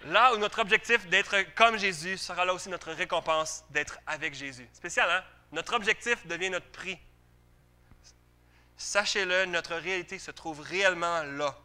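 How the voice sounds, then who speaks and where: A man's voice: sits high, moderate pace, pushed and bright, smooth. A man with a Quebec French accent preaching a sermon to a congregation in an emphatic but conversational way, often putting questions to his listeners.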